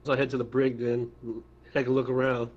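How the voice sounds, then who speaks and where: A man's voice: mid-range voice, level tone, fairly quick. Casual man playing, slightly muffled. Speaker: player in a tabletop game. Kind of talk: casual chat.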